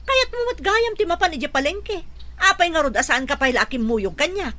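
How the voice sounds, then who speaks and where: A woman's voice: high voice, expressive, moderate pace, pushed and bright. An actress playing an exasperated wife and mother who nags and complains indignantly in a radio drama. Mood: indignant, angry. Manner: nagging, complaining. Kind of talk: Ilocano radio drama acting.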